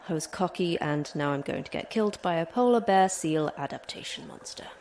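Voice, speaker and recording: A woman's voice: sits low, animated, fairly quick, darkish tone, slight background noise. A woman playing an injured, stubborn fighter in an audio drama, terse, dry and defiant. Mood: dry, strained. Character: injured, concussed, stubborn female fighter trapped by a monster.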